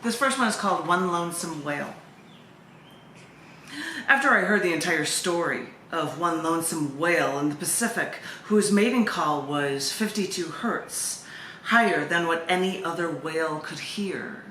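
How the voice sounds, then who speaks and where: A woman who hosts an open mic, reading her poems in a deliberate, measured way with chatty introductions. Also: deep voice, expressive, bright, forceful tone. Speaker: open mic host and poet. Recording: echoey room, faint background noise.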